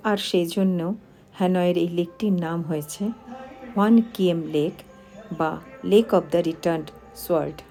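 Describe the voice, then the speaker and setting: A woman narrating a travel vlog in voice-over, speaking in short, unhurried phrases. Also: fairly low pitch, dark tone. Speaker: travel vlogger. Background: music.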